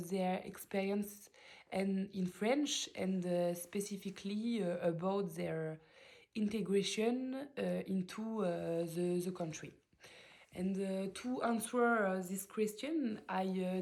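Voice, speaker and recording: A woman introducing her interview project, halting with many fillers. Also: medium pitch, darkish tone. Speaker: student interviewer. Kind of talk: presenting a project.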